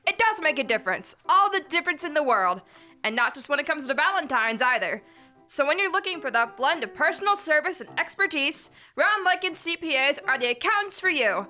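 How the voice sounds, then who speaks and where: Young woman as a bright, peppy radio announcer. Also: very high voice, heavily acted. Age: teens to young adult. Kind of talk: old-time radio announcing and ad read. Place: school library room, staged performance. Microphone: stand microphone on stage.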